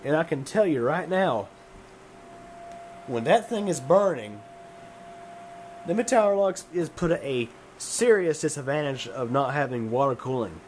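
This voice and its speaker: A hoarse man getting over a cold, talking casually about his computer in a home room warmed by a wood stove. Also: a little high, lively intonation, unhurried, pauses often, slight background noise. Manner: rambling, many fillers and restarts, chuckling. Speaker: computer hobbyist vlogger. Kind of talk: casual tech vlog.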